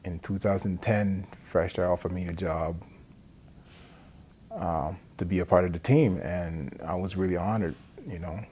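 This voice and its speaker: A middle-aged man from Liberia gives a personal testimony in a halting, plain-spoken way, often adding "you know". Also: deep voice, steady tone, mellow, slightly rough. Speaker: addiction recovery centre support worker. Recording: noticeable background noise.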